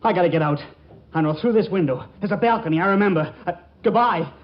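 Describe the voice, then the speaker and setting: A man's voice: sits high, quick pace, slight background noise, lightly acted. An actor playing a nervous, quippy young man forever flustered in a 1940s radio comedy. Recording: dull 1940s radio recording, music behind.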